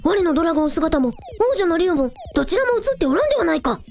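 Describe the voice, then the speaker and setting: An extremely high, childlike dragon, naive and affectionate. The delivery swings up and down with quaint, elderly turns of phrase in a cute audio drama style. Faint music sits underneath. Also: female voice, sing-song, fast talker, vocal fry, a little mellow, exaggerated voice. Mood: curious, matter-of-fact. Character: childlike dragon in small-child form, naive, affectionate, old-fashioned speech. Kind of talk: Japanese audio drama acting.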